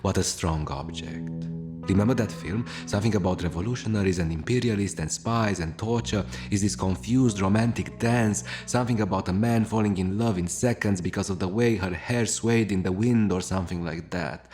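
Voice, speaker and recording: A man's voice: low voice, moderate pace, darkish tone, close to an everyday voice. A man playing a melancholic, world-weary radio host, delivering a brooding, wry confessional monologue in florid words. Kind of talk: scripted radio monologue, noir-like confessional narration. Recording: music under the voice. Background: guitar music.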